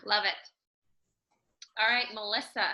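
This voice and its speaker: A woman leading a team video call, introducing each member with brisk, encouraging replies. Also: medium pitch, steady tone, noisy background. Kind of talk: casual group call. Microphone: laptop video call.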